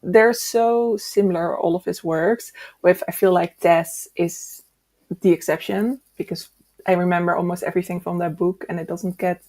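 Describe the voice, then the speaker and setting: Mellow, slightly low-voiced woman chatting casually. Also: steady tone, normal speed. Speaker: book discussion co-host. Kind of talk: casual book chat.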